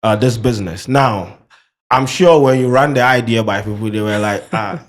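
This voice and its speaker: A man with a Ghanaian English accent who mixes in Pidgin, hosting a casual studio interview in a lively, playful way. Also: on the low side, moderate pace. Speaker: podcast host.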